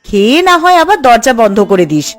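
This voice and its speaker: A woman playing a fretful, scolding housewife and doting mother in a cartoon, exasperated and coaxing in an expressive way. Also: on the high side, fast, no echo, soft background music. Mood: worried, coaxing. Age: adult. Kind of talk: cartoon voice acting.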